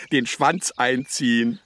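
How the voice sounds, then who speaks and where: An actor in a children's radio play playing a sly, worldly-wise older seafarer who teases and needles in sayings. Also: male voice, medium pitch, slow, fairly bright tone, dry recording. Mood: mocking. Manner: teasing, needling, theatrical, speaks in sayings.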